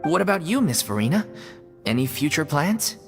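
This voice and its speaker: A woman's voice: low-pitched, big pitch swings, moderate pace. A woman voicing a blunt, scolding troupe member in a video game. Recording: game dialogue over loud music.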